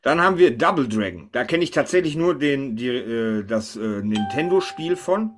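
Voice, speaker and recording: A man's voice: medium pitch, expressive, moderate pace. A man hosting a casual panel chat, thinking aloud and often tossing questions to the others. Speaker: podcast host.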